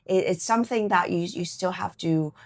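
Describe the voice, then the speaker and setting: A middle-aged woman with a slightly low voice talks casually as an interview guest. She reflects as she goes, circling back over her phrases and punctuating them with small checks like "right?". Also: normal speed. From Canada, born in Hong Kong. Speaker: podcast guest. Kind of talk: casual personal interview chat.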